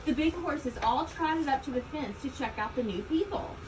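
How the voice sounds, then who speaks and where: A woman reading a children's story aloud, expressively, with deliberate emphasis on key words. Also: high-pitched, expressive. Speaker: storybook reader. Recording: distant mic, loud background ambient noise.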